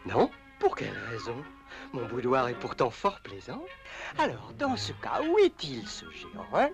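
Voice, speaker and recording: An actor playing a pompous, cowardly little king in an old animated film, stuttering and flustered. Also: mid-range voice, normal speed. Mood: haughty, hesitant. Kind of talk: 1930s animated film dub. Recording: old film soundtrack with music behind.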